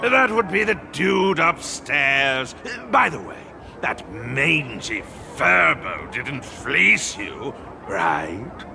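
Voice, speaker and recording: A man voicing an excitable, polite but feisty pet receptionist in a video game. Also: mid-range voice, very slow, vocal fry, noisy background, heavily acted. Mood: chirpy, eager. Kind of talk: video game character acting.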